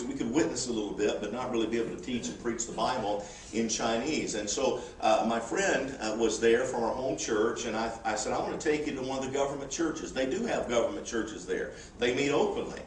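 Man in his forties, missionary speaker, folksy and joking, distant mic, echoing church, faint hiss. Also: medium pitch. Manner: folksy and humorous, with many "uh" fillers. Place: church sanctuary.

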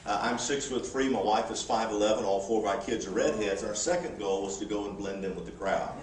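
A man's voice: fairly low pitch, brisk, echoey room, recorded from a distance, heavy background noise. A missionary in his forties, giving a folksy, humorous church report with frequent "uh" fillers. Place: church sanctuary.

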